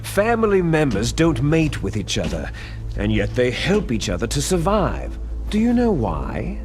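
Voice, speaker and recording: An actor playing a cold, scheming male villain who lectures slowly and menacingly in a video game cutscene. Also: medium pitch, huge pitch swings, darkish tone. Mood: lecturing. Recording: game audio with music behind.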